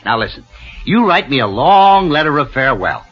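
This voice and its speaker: An actor playing a coldly calculating, persuasive swindler in an old-time radio drama, commanding and pushy. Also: male voice, medium pitch, sing-song, moderate pace, husky. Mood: brisk, coaxing. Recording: dull old radio recording with music.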